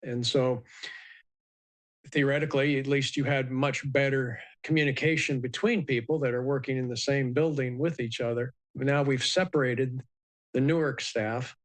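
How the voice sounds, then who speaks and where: Man speaking in a flat, measured way. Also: medium pitch. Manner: measured, explanatory, frequent ums and uhs. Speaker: aviation expert guest. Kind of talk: live news interview.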